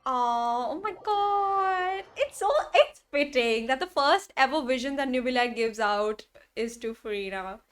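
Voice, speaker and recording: High-voiced excitable young woman streamer. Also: a little slow, smooth, soft background music. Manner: excitable, gushing fan, slangy. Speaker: streamer reacting to a game. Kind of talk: casual live reaction commentary.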